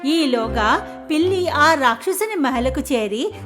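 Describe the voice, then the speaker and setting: A woman reads a children's fairy tale as its narrator. Her voice is high and swings expressively up and down, with music playing clearly behind her. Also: takes it slowly. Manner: expressive storytelling. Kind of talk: scripted children's story narration.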